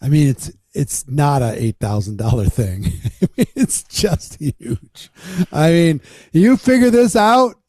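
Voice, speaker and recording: Dry, mellow-voiced encouraging coach man. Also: a little high, animated, no echo. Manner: blunt, encouraging, quick to reassure. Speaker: presentation coach. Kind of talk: casual webinar talk.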